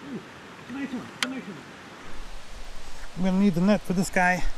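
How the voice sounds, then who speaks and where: A man's voice: sits high, expressive, moderate pace, background noise. A man wading in a river, eagerly talking to himself as he lands a trout, heard from a distance outdoors. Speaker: angler. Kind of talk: excited remarks while fishing.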